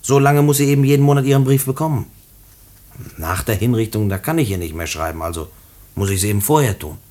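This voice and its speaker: An actor playing a condemned prisoner in a German radio play, earnest and stubborn, speaking haltingly and pleading, often repeating his words. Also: on the low side, expressive, darkish tone, natural voice. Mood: urgent, pleading.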